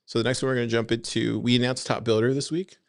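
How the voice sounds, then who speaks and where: A man co-hosting a casual podcast, reading posts aloud and joking around in a relaxed way. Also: medium pitch, quick pace, gravelly, no room sound, studio quality. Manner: relaxed, joking, trails off with "anyways". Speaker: podcast co-host. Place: room by a window.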